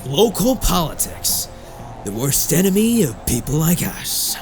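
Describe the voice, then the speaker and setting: A sardonic, foul-mouthed exorcist played by a man speaks in a gravelly, fairly high voice that swings wildly up and down. He takes his time, dramatic and sarcastic, over prominent music in an audiobook drama. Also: very animated, measured pace. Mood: dry, theatrical. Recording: background music.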